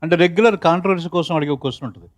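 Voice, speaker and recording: A man's voice: fairly high pitch, rapid-fire, highs cut off, over loud music. A man interviewing in a casual, bantering way, chatty and playful as he talks.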